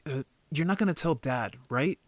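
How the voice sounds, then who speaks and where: A man playing an injured young werewolf, a defensive, easily embarrassed brother who talks casually and haltingly in an audio drama roleplay. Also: a little high, expressive, no room sound, natural voice. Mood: earnest, defensive.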